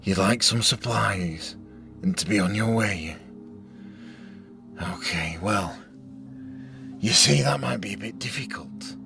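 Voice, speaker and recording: Guy playing old survivor boss villain, super slow n deliberate, sarcastic and threatening, gravelly, music under it. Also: lowish voice. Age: older. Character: menacing, mocking older male survivor leader, whiskey-drinking villain. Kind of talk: audio drama acting.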